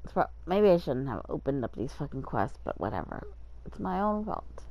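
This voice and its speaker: A woman's voice: low-pitched, very animated, moderate pace, darkish tone, highs cut off. A woman streaming a game with casual, muttered, self-deprecating commentary and some swearing.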